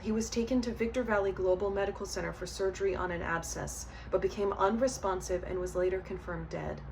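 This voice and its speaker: A woman's voice: mid-range voice, steady tone, a little fast, creaky, darkish tone. A woman giving a formal scripted memorial reading. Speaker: reader in an online remembrance video. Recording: distant mic, background ambient noise.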